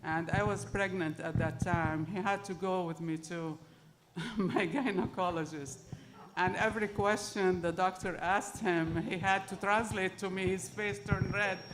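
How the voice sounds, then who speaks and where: A woman's voice: fairly low pitch, steady tone, unhurried. A middle-aged woman with an Arabic accent telling personal stories to an audience as a panel speaker. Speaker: panel speaker telling her immigrant story.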